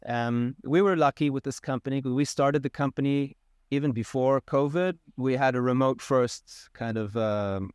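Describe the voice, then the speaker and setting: A man in his thirties from Israel, a molecular biologist answering questions in a relaxed, thoughtful way. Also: medium pitch, normal speed, clear, resonant tone, no echo, studio quality. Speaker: podcast guest, molecular biologist. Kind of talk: conversational interview.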